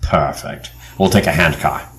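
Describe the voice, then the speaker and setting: A man's voice: a bass voice, a little slow, fairly bright tone. A man playing a commanding, shrewd leader of an adventure team in an audio drama, authoritative and dramatic.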